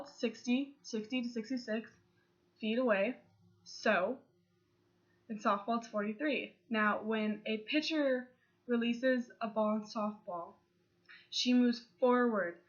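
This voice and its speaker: A woman's voice: mid-range voice, fairly bright tone. Young woman with a cold, slightly muffled. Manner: earnest, with restarts and fillers. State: congested from a cold. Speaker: student giving a speech. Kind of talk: persuasive class speech. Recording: slightly dull lo-fi sound.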